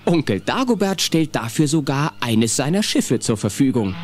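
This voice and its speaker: A man narrating a children's audio play in a suspenseful, expressive storytelling style. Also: medium pitch, moderate pace. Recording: music under the voice. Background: music.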